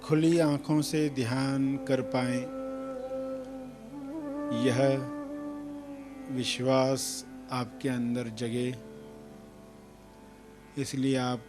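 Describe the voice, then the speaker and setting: A man teaches meditation at an extremely slow pace, parting short phrases with long, deliberate pauses. His voice sits at a medium pitch, and music plays clearly behind it. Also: level tone. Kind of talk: guided meditation instruction.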